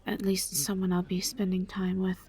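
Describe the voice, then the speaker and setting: A woman playing a gentle, reserved vampire in a roleplay audio drama, speaking in a hushed, breathy voice with careful, halting, formal phrasing. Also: medium pitch, monotone, close to an everyday voice. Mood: flustered, shy. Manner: halting, careful, formal diction without contractions. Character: gentle, reserved female vampire travelling with a knight.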